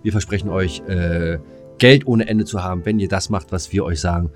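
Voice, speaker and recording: A man's voice: low voice, fairly even intonation, normal speed, faint music behind. A middle-aged man, chatting casually as a podcast co-host. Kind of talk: casual chat.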